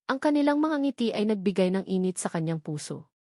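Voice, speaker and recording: A woman reads a scripted, sentimental love story as its narrator. Her voice sits at a middle pitch for a woman. The sound is dry, with hardly any room around it. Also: big pitch swings, fairly quick, mellow, no echo.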